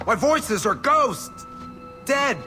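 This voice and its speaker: A man's voice: extremely high pitch, animated, drawn-out words, sharp tone, faint music behind, heavily acted. A man playing an unhinged, bitter veteran operative tormented by voices, sneering and theatrical, in a video game. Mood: manic raving.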